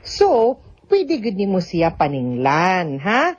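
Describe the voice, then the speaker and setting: A woman's voice: on the low side, wildly swooping pitch, slow pace, no echo, dull audio. A woman with a Cebuano Filipino accent hosting a radio advice programme, talking conversationally and mixing in English terms as she explains things. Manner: conversational, mixes in English terms, tag questions. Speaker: also a teacher. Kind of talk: radio legal advice, reading letters and answering.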